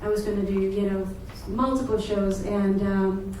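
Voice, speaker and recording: A woman from Sweden, chatting with her audience between songs as a singer-songwriter, friendly and quick to laugh. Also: medium pitch, level tone, dark tone, a little hiss or hum. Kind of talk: live stage banter between songs. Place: live music venue, audience present. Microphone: single room mic in the crowd far from stage. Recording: distant, echoey live room mic. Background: guitar and live room sound.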